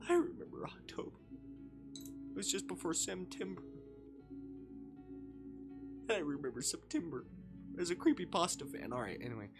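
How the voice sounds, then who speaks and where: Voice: mid-range voice, huge pitch swings, normal speed, long pauses, over music. A streamer chatting casually and reading a visual novel aloud, rambling, jokey and easily sidetracked.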